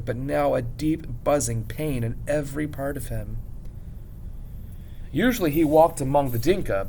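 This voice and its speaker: A man reading a story aloud as a teacher would, in an expressive, measured narration with dramatic pauses. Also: mid-range voice, vocal fry, a little hiss or hum, low-quality recording.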